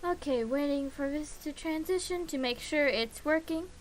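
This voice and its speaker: A woman's voice: high-pitched, normal speed, a little hiss or hum. A young woman streaming a game, chatting casually to herself and humming filler sounds while she waits.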